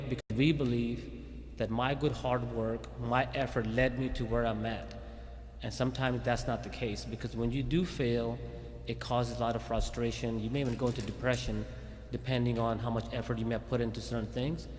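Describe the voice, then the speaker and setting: A man's voice: mid-range voice, a little mellow, a little hiss or hum. Man speaking in a reverberant hall. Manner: conversational, with frequent restarts and "okay?" check-ins. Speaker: police chief speaking at a community event. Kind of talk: informal faith talk to a community audience. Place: Islamic center hall.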